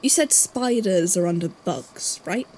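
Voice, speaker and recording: A woman playing a guarded, thoughtful investigator in an audio drama, speaking haltingly. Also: on the low side, lively intonation, a little mellow. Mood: hesitant, thoughtful. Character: guarded, puzzled investigator from a supernatural archive.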